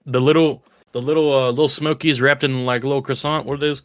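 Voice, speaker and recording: A man's voice: mid-range voice, fairly even intonation, fast talker, dry recording. Male co-host, chatty and rambling, dry studio sound. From West Virginia. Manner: rambling, enthusiastic food talk with restarts. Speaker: podcast co-host. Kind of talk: casual chat.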